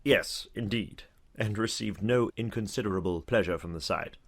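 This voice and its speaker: An actor playing a genial, talkative older knight in a dramatized audiobook, speaking in an effusive, courtly way. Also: male voice, fairly low pitch, normal speed. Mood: sociable.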